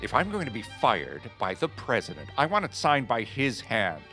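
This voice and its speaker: An actor playing an indignant political aide in an audio drama, confrontational, scornful and pleading. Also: male voice, mid-range voice, huge pitch swings, moderate pace, sharp tone, faint music behind. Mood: defiant. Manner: heated, confrontational.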